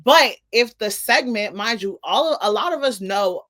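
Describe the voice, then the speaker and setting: A woman's voice: on the high side, expressive, a little slow, fairly bright tone. A woman co-hosting a commentary show, giving casual opinions with emphasis and slang.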